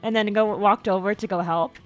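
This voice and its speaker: A young woman chatting casually on a livestream. She rambles, repeats and stumbles over words, and her voice sometimes jumps suddenly into high squeaks. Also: steady tone, brisk. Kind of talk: casual stream chat. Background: faint background music.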